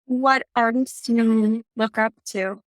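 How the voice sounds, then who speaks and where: A woman's voice: fairly high pitch, steady tone, takes it slowly, no echo. A woman hosting an interview podcast, giving introductions and asking prepared questions.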